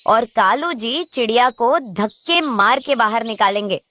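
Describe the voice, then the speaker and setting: A woman's voice: on the high side, expressive, a little slow, fairly bright tone. A woman voicing a wicked, lazy female crow who nags and schemes in a children's cartoon. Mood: gleeful scheming. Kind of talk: Hindi children's cartoon character voice.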